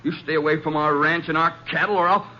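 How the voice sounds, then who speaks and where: An actor playing a hot-tempered, defensive young rancher in an old radio western, with agitated, stammering protests. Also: male voice, fairly high pitch, fairly even intonation, fast talker, sharp tone. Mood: angry, desperate. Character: defensive, hot-tempered young rancher in his twenties, pushed too far. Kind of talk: 1950s radio western acting. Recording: dull vintage 1950s radio recording.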